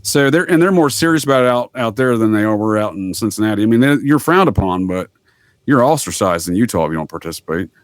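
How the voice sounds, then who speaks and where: A man's voice: on the low side, lively intonation, fast, no echo, clean studio sound. A man from the United States explaining things casually as a podcast guest, with wry, folksy asides.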